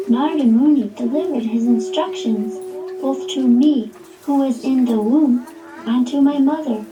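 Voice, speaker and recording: A woman's voice: on the high side, natural voice. A woman playing a devout young boy prince in a devotional puppet show, earnest and serene, as if reciting. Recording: roomy, distant mic.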